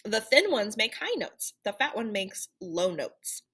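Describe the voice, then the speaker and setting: Animated woman reading aloud, slightly husky. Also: mid-range voice, unhurried. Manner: animated, theatrical storytelling. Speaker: picture book reader. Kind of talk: expressive children's read-aloud narration.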